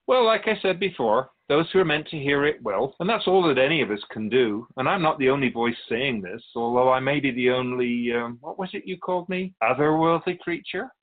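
Man as a lively-pitched otherworldly sage. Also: close to an everyday voice. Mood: wry, musing. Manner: measured, didactic, musing pauses. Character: otherworldly male Keeper of Souls, wise, wry, calmly cautioning. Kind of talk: radio drama dialogue in interview form. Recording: dull, lo-fi sound.

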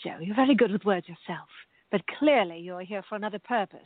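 A woman's voice: medium pitch, fairly quick, no echo, close to an everyday voice. A woman playing a genteel, tender lady novelist with formal period diction in a radio play. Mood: warm, polite. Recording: dull lo-fi, music behind.

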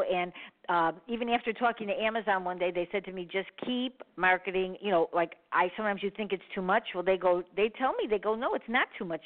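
Chatty woman host on a phone line. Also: mid-range voice. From Chicago. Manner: rambling with many fillers, frequent laughs. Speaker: radio show host. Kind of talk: casual radio interview.

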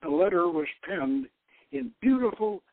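A man's voice: sits high, very animated, a little slow, no echo. A man giving a dramatic reading of a novel as narrator, stumbling now and then. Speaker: host reading own novel aloud. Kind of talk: dramatic audiobook-style narration. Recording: dull, lo-fi radio sound.

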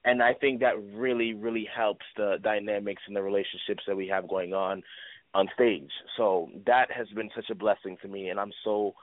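Deep-voiced man, dry phone-line sound. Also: steady tone, moderate pace, no echo. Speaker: actor, lead of a stage play. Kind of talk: conversational interview answers.

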